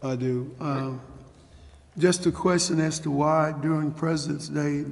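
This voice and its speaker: A man speaking as a city council member, insistent and deliberate, raising questions at a formal public meeting. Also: fairly high pitch, fairly even intonation, gravelly. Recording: reverberant meeting chamber.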